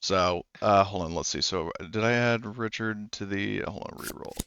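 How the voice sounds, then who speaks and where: A man acting as game master in a tabletop game, talking with frequent pauses and fillers. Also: fairly low pitch, fairly bright tone, clear, resonant tone, no room sound, highs cut off. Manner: hesitant, lots of fillers and restarts.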